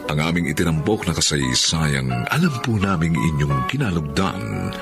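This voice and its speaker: Deep, gravelly male radio drama announcer. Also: very animated, normal speed. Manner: formal, theatrical. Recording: loud music over voice. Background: loud music.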